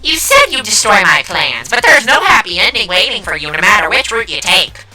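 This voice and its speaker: A woman's voice: mid-range voice, sing-song, a little fast, sharp tone, faint music behind, exaggerated voice, low-quality recording. A woman voicing a gleefully sadistic, theatrical teenage girl villain in an anime fan dub, taunting and melodramatic. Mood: gloating, manic. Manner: taunting, melodramatic, mock-sweet.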